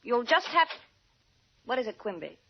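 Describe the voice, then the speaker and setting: A woman's voice: a little high, sing-song, moderate pace. An actress playing a haughty, impatient woman with a brittle, imperious manner in an old-time radio drama. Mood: irritated. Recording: dull vintage radio recording with hiss, clicks.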